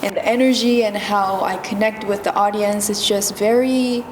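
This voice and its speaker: A young woman with a Japanese accent, a singer answering interview questions softly and thoughtfully, with many fillers. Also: mid-range voice, steady tone, recorded from a distance. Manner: soft, thoughtful, slow with many fillers and giggles. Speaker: singer-songwriter guest. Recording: echoey convention room with noise.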